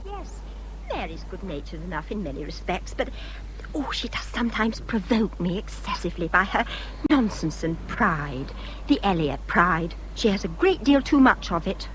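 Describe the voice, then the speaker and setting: A woman playing a high-spirited, headstrong young English gentlewoman in a period radio drama, emphatic and girlish in manner. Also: big pitch swings, moderate pace, a little hiss or hum, close to an everyday voice, rough recording. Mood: confiding.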